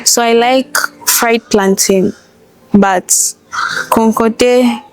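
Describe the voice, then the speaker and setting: A woman's voice: on the high side, fairly even intonation, extremely slow, low-quality recording. A young woman with a Zambian accent, a student telling casual anecdotes in an interview. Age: young adult.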